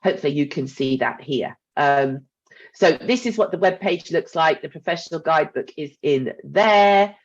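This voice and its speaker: A woman's voice: sits low, normal speed, breathy edge. Bunged-up woman lecturer, lo-fi. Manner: chatty, reassuring, with frequent ums and asides. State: congested from a cold. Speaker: university mentoring lead and lecturer. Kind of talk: recorded training talk. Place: home. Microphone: headset.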